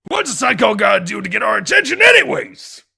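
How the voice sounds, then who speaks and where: A man acts out an embittered police detective with a short fuse in a comic dub. His voice is sharp and very gravelly, swinging widely from low muttering to forceful ranting. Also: sing-song, fairly quick, full character voice. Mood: outraged. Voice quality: gravelly, raspy. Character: hot-tempered, embittered male police detective.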